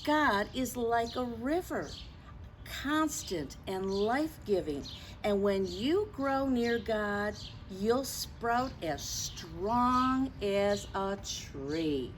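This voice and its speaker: Slow-paced woman telling stories to children. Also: mid-range voice, slight background noise, poor audio. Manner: deliberate, expressive, addressing young children directly. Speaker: children's storyteller and religious educator. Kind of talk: children's story time, read-aloud and simple faith talk.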